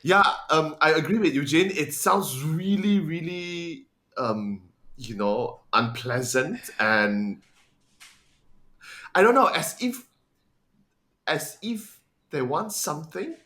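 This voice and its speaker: Bright-voiced, tentative male panelist. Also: on the high side, animated, takes it slowly, pauses often. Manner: tentative, repetitive phrasing. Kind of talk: casual discussion.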